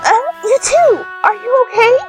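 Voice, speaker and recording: A woman voicing a sweet, squeaky, flustered teenage girl with a hesitant, fretful manner in a radio drama. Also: unhurried, over music. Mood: flustered, tearful. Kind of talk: amateur anime-style radio drama acting.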